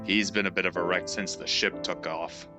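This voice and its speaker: A man's voice: low voice, fairly even intonation, moderate pace, over music, close to an everyday voice. A man playing a blunt, confident mechanic in an amateur audio drama, terse and matter-of-fact.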